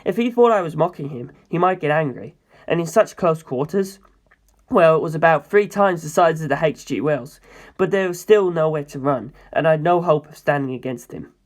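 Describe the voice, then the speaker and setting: A story reader with a medium-pitched voice narrates first-person fiction. The delivery is scripted narration, read aloud as the main character's own account. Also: expressive, moderate pace.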